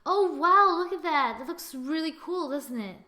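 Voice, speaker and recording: A young woman with a slightly high voice talks through a design tutorial at her computer. She narrates every click and step in a chatty, casual way, speaking into a desktop mic. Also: expressive, measured pace, sharp tone. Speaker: tutorial host. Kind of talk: screen-recorded software tutorial.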